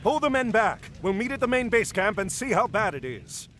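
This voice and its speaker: An actor playing a proud, resolute Atlantean admiral in a video game, speaking in a firm, commanding way. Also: male voice, sits high, big pitch swings, moderate pace, fairly bright tone. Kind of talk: video game cutscene acting.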